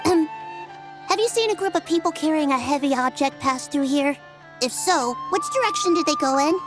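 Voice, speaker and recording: A woman voicing a polite, earnest young investigator in an animated game, courteous and precise. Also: sits high, fairly quick. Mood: formal. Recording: music behind.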